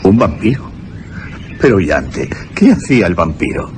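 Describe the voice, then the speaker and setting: An actor playing an earnest, dreamy young English gentleman archaeologist in a Spanish radio drama. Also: deep voice, measured pace, slight background noise. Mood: incredulous, troubled. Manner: dreamy, expressive delivery. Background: music behind the voice.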